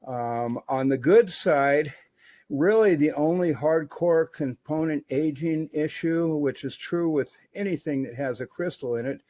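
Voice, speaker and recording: A man casually reviewing and testing a vintage radio in his workshop, relaxed and peppered with filler words. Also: fairly high pitch, measured pace.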